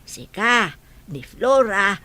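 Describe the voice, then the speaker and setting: A woman's voice: medium pitch, big pitch swings, takes it slowly, sharp tone, full character voice. A woman playing an elderly, approving grandmother with an Ilocano accent in an audio drama. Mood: urging. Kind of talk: Ilocano radio-style drama acting.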